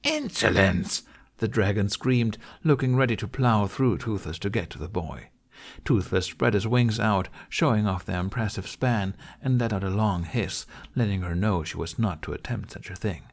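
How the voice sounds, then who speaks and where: A man reading a story as an audiobook narrator, in an expressive scripted style. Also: on the low side, moderate pace.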